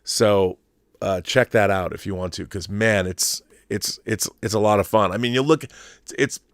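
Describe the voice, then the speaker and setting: A man's voice: fairly low pitch, normal speed, no room sound. Middle-aged American man, dry close sound. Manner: rambling, enthusiastic, emphatic. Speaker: YouTube show host and filmmaker. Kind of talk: casual opinionated livestream chat.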